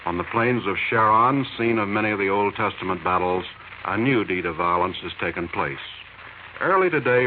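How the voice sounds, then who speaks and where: American guy, 1940s radio news commentary, medium pitch, tinny w some hiss.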